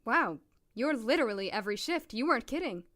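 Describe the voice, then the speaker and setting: A woman's voice: a little high, very animated, fairly quick, fairly bright tone. A young woman playing a chatty, upbeat doctor new in town in an audio drama, with a jokey, nervous rambling manner.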